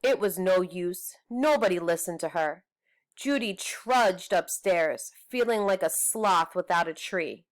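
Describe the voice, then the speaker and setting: A woman teacher reading a children's storybook aloud in a measured, deliberate way. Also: fairly low pitch, expressive. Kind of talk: storybook read-aloud narration.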